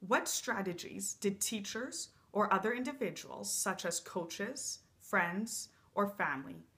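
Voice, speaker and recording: A woman interviewer asking measured, formal questions. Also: mid-range voice, a bit bright.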